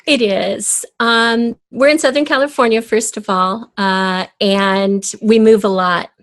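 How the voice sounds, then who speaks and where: A woman's voice: a little high, steady tone, slow, a bit bright. A middle-aged or older woman talking casually as a guest in an interview. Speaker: podcast guest, audio drama creator. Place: home, in front of bookshelves. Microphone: USB desktop microphone.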